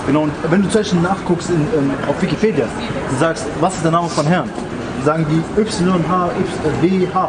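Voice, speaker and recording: A man's voice: on the high side, normal speed. A man preaching outdoors on a street, explaining scripture earnestly and asking the listener questions. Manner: earnest, questioning, mixes German and English. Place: outdoors on a busy city street. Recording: loud street traffic noise.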